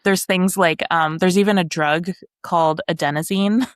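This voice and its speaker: A woman's voice: on the low side, steady tone, moderate pace, dry recording, studio quality. A woman co-hosting a podcast, chatting casually about books with a wry, sometimes sweary manner. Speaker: podcast co-host. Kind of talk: casual book discussion chat.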